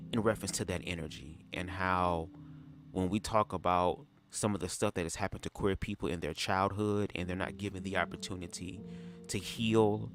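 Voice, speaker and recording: A man hosting a casual livestream, chatty and slangy, talking straight to his viewers with a stuffy nose. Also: low-pitched. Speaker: livestream host.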